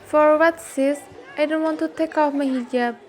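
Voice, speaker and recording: A woman's voice: high voice, steady tone, normal speed, a faint crowd, close to an everyday voice. A woman playing a meek, frightened bullied schoolgirl in a student radio drama, with earnest, stilted delivery. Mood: meek, pleading.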